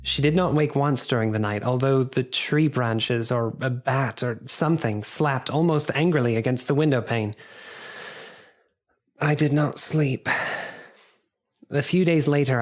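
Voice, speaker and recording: An actor playing an earnest Victorian gentleman doctor who narrates gravely and formally in an audio drama. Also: mid-range voice, lightly acted. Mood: grave recounting. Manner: grave, formal, measured storytelling.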